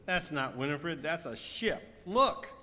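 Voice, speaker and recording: A man's voice: fairly high pitch, animated, slow, sharp tone, exaggerated voice. A man playing a grumbling, sarcastic castaway in an amateur radio comedy skit. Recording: faint room noise and ambience.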